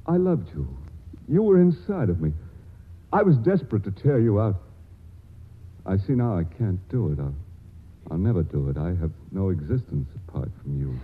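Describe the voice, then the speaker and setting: An actor playing a feverish, lovesick young male scientist in a 1940s radio drama, confessing in a halting, intense way. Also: low voice, big pitch swings, slightly rough, heavily acted. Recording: tinny old radio broadcast.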